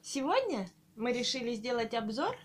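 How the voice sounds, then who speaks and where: A woman's voice: fairly high pitch, big pitch swings, moderate pace, a crowd in the background. A young woman chatting casually to small children as a mother hosting a home video, in an encouraging sing-song way. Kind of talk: casual child-directed chat. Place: small living room at home. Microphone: handheld camera or phone mic, behind the camera. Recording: noisy home phone-camera recording.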